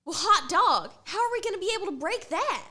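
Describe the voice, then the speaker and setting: A woman's voice: very high-pitched, sing-song, brisk, bright, forceful tone, full character voice. A woman doing a folksy student. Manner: folksy, exclaiming. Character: folksy country student pilot.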